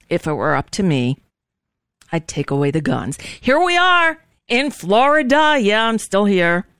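A woman hosts an informal live political talk show. Her voice is clear and medium in pitch, in a dry room. She rambles and comments bluntly, with a sardonic edge. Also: a bit bright, dry recording.

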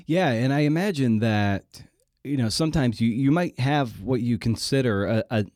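A man's voice: mid-range voice, moderate pace, a little mellow, dry recording, studio quality. A man hosting a podcast interview in a casual, informal and colloquial way.

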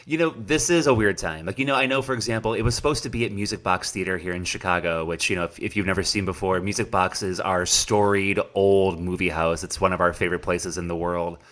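Deep-voiced enthusiastic host. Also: male voice, fairly even intonation, fairly bright tone. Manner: enthusiastic, quick reactions. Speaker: podcast host. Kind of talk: casual podcast interview.

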